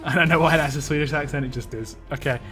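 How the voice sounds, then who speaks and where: A man's voice: fairly high pitch, fast. A man chatting casually on a live stream while he plays a game, rambling and answering chat. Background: game music.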